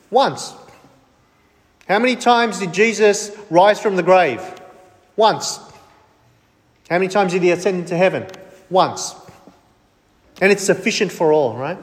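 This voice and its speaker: A man's voice: high voice, long reverb tail. A man preaching a sermon with emphasis, firing questions at the congregation and answering them himself.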